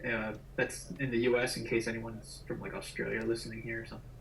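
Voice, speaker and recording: A man in his thirties from New Jersey talks casually as a call-in guest. His voice sits at an average pitch, and there is faint background noise on his video call. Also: monotone, fast, gravelly, a bit bright, low-quality recording. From New Jersey, United States. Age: 30s. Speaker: podcast guest calling in. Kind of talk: casual chat.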